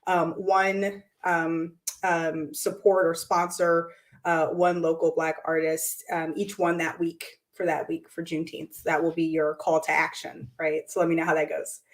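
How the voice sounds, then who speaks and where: A hoarse woman with a lowish, raspy voice hosts her weekly show in casual, upbeat talk. She fills her speech with ums and restarts. She talks from a room indoors by a window. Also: flat delivery, unhurried. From United States. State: hoarse from lost voice.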